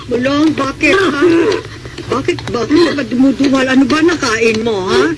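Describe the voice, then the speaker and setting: An actress playing a frail, anxious old wife with a Filipino accent, stammering and plaintive in classic radio drama style. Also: female voice, sits high, normal speed, a loud crowd, lightly acted. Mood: tearful, alarmed. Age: older. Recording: radio drama with music behind.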